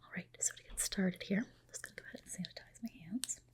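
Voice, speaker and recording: Breathy whispering ASMR woman. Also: fairly low pitch, fast talker. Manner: gentle, polite, reassuring professional. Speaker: ASMR host roleplaying a dermatologist. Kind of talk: soft whispered ASMR personal-attention roleplay.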